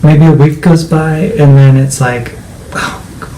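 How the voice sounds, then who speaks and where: A man's voice: a little high, steady tone, measured pace, mellow, a little hiss or hum, rough recording. A man co-hosting a personal conversation, talking reflectively and often searching for his words. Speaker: co-host.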